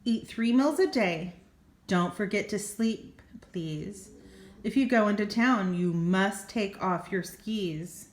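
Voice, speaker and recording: Woman reading as a kind, gently instructive storybook heroine. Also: mid-range voice, slow pace, lightly acted. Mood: gently firm. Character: kind, organized Sally Sue, helpful storybook heroine. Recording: roomy echo.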